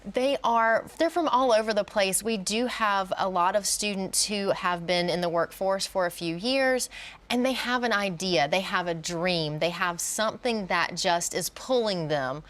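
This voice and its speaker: A woman's voice: mid-range voice, a little slow, a bit bright. A woman speaking as a college business educator in an interview, explaining in an encouraging way.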